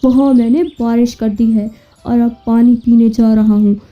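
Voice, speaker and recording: A woman's voice: on the high side, fairly even intonation, measured pace, natural voice. A woman voicing a friendly, courteous rain cloud making announcements in a children's cartoon story. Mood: friendly, announcing. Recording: muffled, distant-sounding, music behind.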